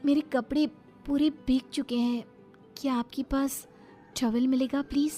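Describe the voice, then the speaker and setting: A woman's voice: high-pitched, fairly even intonation, normal speed, mellow, soft background music, voice pushed up, natural voice. A woman voicing a polite, alluring young woman asking for help in a horror cartoon. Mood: polite, pleading.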